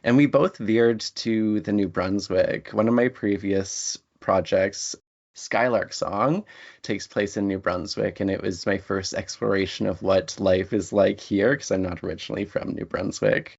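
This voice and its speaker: A man's voice: fairly low pitch, fairly even intonation, unhurried, vocal fry. A man speaking as a writer being interviewed, answering in a thoughtful, articulate, reflective way. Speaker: interview guest, writer and lecturer. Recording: dull, lo-fi audio.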